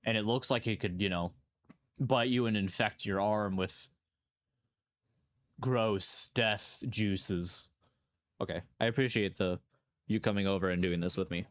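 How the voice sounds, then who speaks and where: A man's voice: fairly low pitch, fairly even intonation, unhurried, long pauses, no room sound. A man streaming casual reaction commentary, rambling and playful, often questioning what he watches. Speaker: streamer reacting to horror videos.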